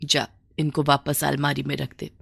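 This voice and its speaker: A woman voicing a sensible older village relative in a bedtime story, advising gently in a measured, admonishing way. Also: deep voice, monotone, creaky, slightly rough, dry recording, studio quality, pitched below the performer's own voice, heavily acted. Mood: calm advising.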